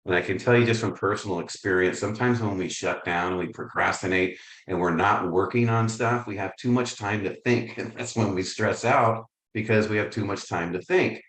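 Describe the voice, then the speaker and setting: Male presenter, low voice, roomy echo, friendly and self-deprecating on a video call. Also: moderate pace, hardly any pauses, fairly bright tone. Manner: upbeat, conversational, self-deprecating. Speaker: real estate coaching presenter. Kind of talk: webinar presentation.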